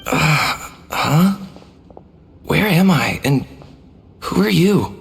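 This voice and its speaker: Nervous young lad, naive, apologetic, stammering, wide swooping pitch, game voice acting, long reverb. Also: male voice, expressive, very slow, creaky, slight background noise, exaggerated voice. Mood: dazed. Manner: stammering, pleading, self-deprecating. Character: timid, apologetic, naive youth, failed spellcaster. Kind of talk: dramatic video game voice acting. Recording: long hall reverb, music behind.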